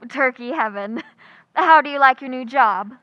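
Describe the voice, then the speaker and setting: A woman acting a wry, quick-witted schoolteacher in old-time radio comedy, full of dry, sarcastic wisecracks. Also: high-pitched, lively intonation, dry recording, dull audio. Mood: wry, playful.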